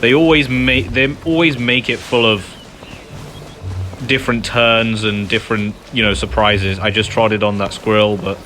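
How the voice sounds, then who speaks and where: A man giving casual, low-key playthrough commentary as a gamer, often muttering to himself. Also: fairly even intonation, moderate pace, pushed and bright. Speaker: gamer, channel host. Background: game music.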